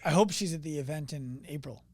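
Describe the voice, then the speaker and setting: A man's voice: fairly high pitch, fairly quick, darkish tone, no echo. A man hosting a podcast, interviewing a guest with brief interjections and short questions. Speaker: podcast host.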